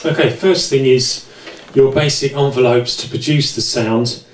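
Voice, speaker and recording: A man presenting an informal hands-on synthesizer tutorial in a conversational, explanatory way, with hesitant pauses. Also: medium pitch, steady tone, slight background noise. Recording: roomy echo, distant microphone.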